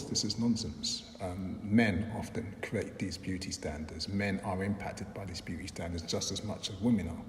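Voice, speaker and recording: Man, low voice, measured and reflective, roomy echo, faint hiss. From London, England. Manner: thoughtful, measured, frequent "um" and restarts. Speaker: interviewee, filmmaker and lecturer. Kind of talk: reflective interview answers. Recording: roomy echo with long reverb, faint background noise.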